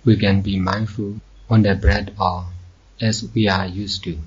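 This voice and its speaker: Male meditation teacher, low husky voice, measured phrasing, long pauses, dull muffled recording. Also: little pitch movement. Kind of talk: slow guided meditation instruction.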